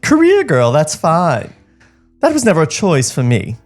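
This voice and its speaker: Man acting fed-up 1930s wife, high voice, sarcastic, nagging, long-suffering, very animated, comedic table read. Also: unhurried, soft background music. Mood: scornful, exasperated. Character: bitter, nagging 1930s housewife fed up with her husband.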